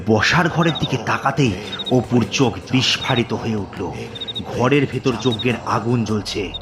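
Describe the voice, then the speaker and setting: A man narrating a scripted horror story dramatically, in deliberate, suspenseful phrases with pauses. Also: mid-range voice, background noise.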